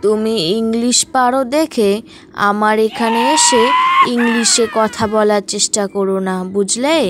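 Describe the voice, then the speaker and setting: Cartoon casting: a woman as a stern elderly village matriarch, sharp and disapproving, music behind. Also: a little high, wildly swooping pitch, measured pace. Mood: angry, scornful. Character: stern, scolding old village mother-in-law.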